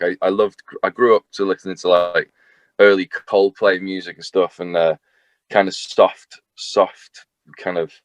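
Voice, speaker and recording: Low-voiced Northern English man, rambling. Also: dry recording. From north of England. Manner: rambling, self-deprecating, chatty with many fillers. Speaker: singer-songwriter guest. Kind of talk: casual interview chat.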